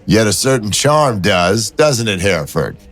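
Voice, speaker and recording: A man playing a wry, low-voiced detective. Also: big pitch swings, measured pace, fairly bright tone, soft background music. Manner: dry, teasing banter. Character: wry, persistent male city detective.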